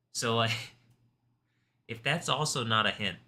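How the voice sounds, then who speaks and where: A man hosting a live stream, talking in an intense, rambling way, confrontational at times and soft-spoken at others. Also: mid-range voice. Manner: calls himself soft-spoken. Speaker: livestream host.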